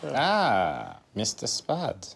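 A man's voice: fairly low pitch, huge pitch swings, vocal fry, full character voice. A man voicing a smug, theatrical villain in a spy-film parody, drawing out his gloating lines. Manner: drawn-out, gloating. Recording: very noisy, off-mic film soundtrack.

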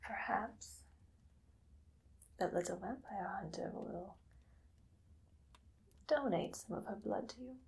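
Woman playing a vampire mistress, very slow, breathy, often whispering, possessive, doting, coaxing with menace, theatrical ASMR roleplay. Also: medium pitch, a little mellow. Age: young adult. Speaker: ASMR performer. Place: small dim indoor room.

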